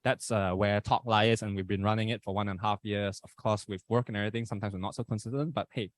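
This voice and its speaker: A low-pitched young Malaysian man talks casually on a podcast, upbeat and prone to wander off topic. His Malaysian English accent is plain. The sound is dry and close. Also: steady tone, fast talker, no room sound. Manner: rambling, upbeat, chatty. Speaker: guest podcaster.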